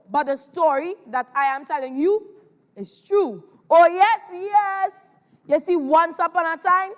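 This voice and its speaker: A young boy as boastful, high-voiced trickster spider. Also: exaggerated voice. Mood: boastful, gleeful. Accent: Trinidadian. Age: child. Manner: exaggerated, dramatic delivery with drawn-out words. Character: boastful, deceitful trickster spider Anansi telling his tale. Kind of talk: theatrical competition storytelling on stage.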